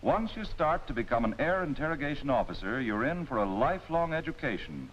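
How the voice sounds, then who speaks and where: An American man playing an authoritative, wry narrator of a 1940s military training film in a measured, rhetorical delivery. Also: mid-range voice, very animated, slight background noise, natural voice. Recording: muffled old film soundtrack with music.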